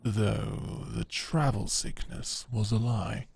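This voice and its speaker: Gravelly, stern knight played by a man. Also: lowish voice, fairly even intonation, darkish tone, heavily acted. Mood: shrewd, appraising. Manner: measured, laconic, knowing. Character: stern, shrewd male knight captor, calm and observant. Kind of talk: dramatic audio roleplay acting.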